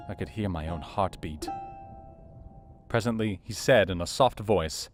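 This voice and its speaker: A man playing a serious, anxious Victorian doctor, narrating his diary in a measured, formal literary manner for an audio drama. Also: deep voice. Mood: grave, anxious. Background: faint plucked guitar music.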